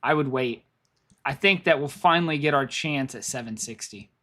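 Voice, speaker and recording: A man's voice: mid-range voice, normal speed, fairly bright tone, slight background noise. A man who is losing his voice, giving rambling live commentary on stock charts, thinking aloud and repeating himself. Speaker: stock market streamer.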